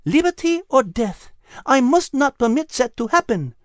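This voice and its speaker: A man voicing a kind, brave little female cat with a French accent, speaking gently and earnestly in a storybook narration. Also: very high-pitched, sing-song, normal speed, dry recording, studio quality, voice pushed up, exaggerated voice. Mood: earnest, hushed. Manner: gentle, earnest, formal wording.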